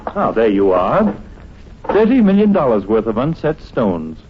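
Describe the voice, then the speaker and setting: A formal, precise gentleman custodian in a vintage radio thriller. He speaks slowly and theatrically with wide swings of pitch, in a dull old recording with music behind. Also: huge pitch swings, slight background noise. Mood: formal, wary.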